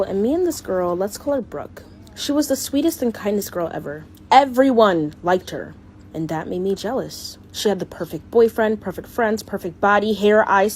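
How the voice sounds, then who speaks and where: A woman's voice: medium pitch, animated, normal speed, a little mellow, slight background noise. A woman narrating a scripted confessional story in short, plain statements.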